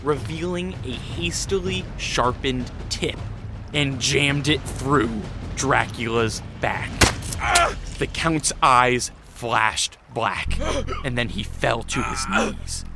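A man's voice: mid-range voice, takes it slowly. A man giving scripted, dramatic third-person narration as the narrator of a radio drama. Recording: music and effects behind the voice.

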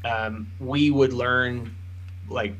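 A man's voice: mid-range voice, steady tone, very slow, fairly bright tone, dull audio. A man, an author and podcast guest, explaining ideas in a thoughtful way with plenty of examples. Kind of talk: explanatory interview talk.

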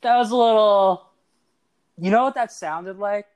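A young man in his twenties hosts a sports podcast. His voice sits a touch high, and he talks in a lively, teasing way, full of quick asides. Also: smooth. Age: 20s. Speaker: podcast host. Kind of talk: casual sports podcast chat.

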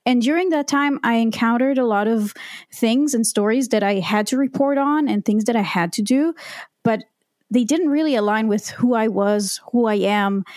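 A woman's voice: on the high side, normal speed, a little mellow, no room sound. Woman, dry close sound. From Israel. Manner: reflective and candid, with frequent ums and restarts. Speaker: podcast guest, copywriter. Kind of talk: conversational storytelling.

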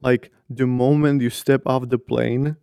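A nineteen-year-old man from Slovakia, not a native English speaker, hosts his own podcast with a very flat, even delivery. His voice is smooth and clear, though a little hoarse from long talking, and it sounds dry and close with no room echo. He talks candidly, leaning on "like" as a filler. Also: mid-range voice, a little slow. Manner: earnest, candid, uses many fillers like "like". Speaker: podcast host. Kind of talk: casual solo podcast talk.

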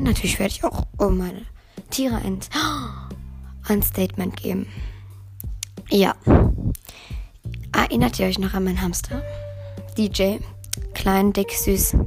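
Teen girl host with a hoarse, strained voice, chatty and meandering, faint music in the background. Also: medium pitch, darkish tone. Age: teens. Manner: rambling, chatty, self-correcting. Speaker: podcast host. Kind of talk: casual solo podcast chat.

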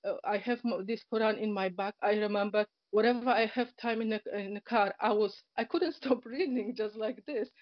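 A woman from Poland with a Polish accent, a guest in an interview, telling a personal story in casual speech. Her first language is Polish, and she talks hesitantly, with many fillers, second-language grammar and frequent soft laughs. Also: mid-range voice, fairly quick, hardly any pauses, dry recording. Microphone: online call. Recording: dull, lo-fi internet call audio.

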